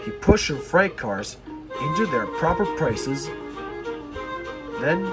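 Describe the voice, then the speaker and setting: A man reading a children's story aloud, haltingly, stumbling over words and repeating phrases. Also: on the high side, big pitch swings, loud music behind the voice. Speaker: storybook reader.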